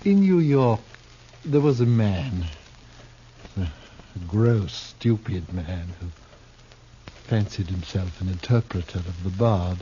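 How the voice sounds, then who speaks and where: A slow, florid, desperate theatrical fugitive. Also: male voice, fairly low pitch, dark tone. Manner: theatrical, florid, archaic speech. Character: desperate, bitter, misshapen Shakespearean actor turned fugitive gunman. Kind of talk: 1950s American radio drama acting. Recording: dull, noisy 1950s radio recording.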